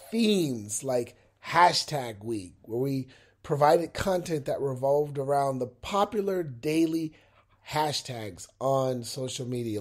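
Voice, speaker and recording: A man's voice: a little high, darkish tone. A man with a head cold hosting a motivational podcast, talking upbeat and encouraging straight to his listeners from his bed at home. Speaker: podcast host. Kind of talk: unscripted motivational podcast monologue. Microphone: laptop with a mic.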